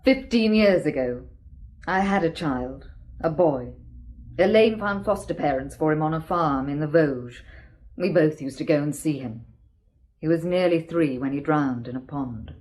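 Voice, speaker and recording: A frank, sardonic woman speaks plainly about her sister in a radio drama. Her voice sits low for a woman. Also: a little slow, a little mellow. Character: blunt worldly woman, sister of murdered woman.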